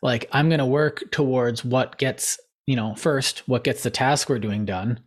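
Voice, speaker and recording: A man's voice: mid-range voice, fairly even intonation, a little slow. A man co-hosting a casual podcast chat, talking in long, digressive runs and laughing at himself as he loses his thread. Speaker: podcast co-host.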